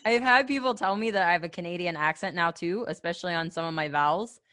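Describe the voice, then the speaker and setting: A woman's voice: on the low side, fast talker, fairly bright tone. A woman from the American Midwest interviewing in a casual, friendly chat, agreeable and given to reminiscing. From Ohio, American Midwest.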